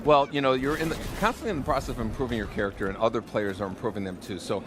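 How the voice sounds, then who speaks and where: A man's voice: mid-range voice, very fast, noisy background. A man being interviewed as a game developer, talking informally in promotional style and often repeating words as he goes. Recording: loud music behind the voice. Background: loud music.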